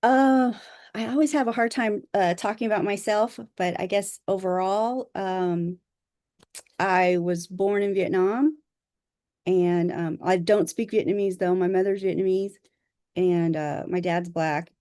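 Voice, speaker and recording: A woman from Southern California, an author introducing herself on a video call, speaking modestly and haltingly with fillers. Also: mid-range voice, steady tone, warm tone, no room sound, studio quality. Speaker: author presenting a workshop.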